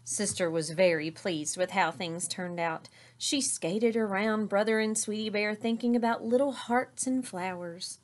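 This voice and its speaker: A middle-aged woman reading a children's story aloud in an expressive narrating style. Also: mid-range voice, unhurried, hardly any pauses. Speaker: storybook reader. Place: small indoor room with curtain backdrop.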